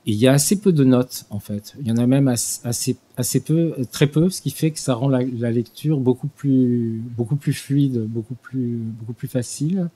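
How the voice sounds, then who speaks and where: An older man giving an unscripted talk in a small studio at a desk microphone, halting with frequent restarts and fillers. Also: mid-range voice, dark tone, breathy edge. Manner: hesitant, many restarts and fillers. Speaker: guest lecturer. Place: small studio with dark purple backdrop. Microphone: desk mic on stand.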